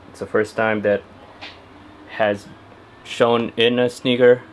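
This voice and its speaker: A man's voice: fairly low pitch, a little hiss or hum. A young man talking casually to camera at home about his sneakers, chatty and laid-back with lots of fillers. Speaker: sneaker vlogger. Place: at home indoors.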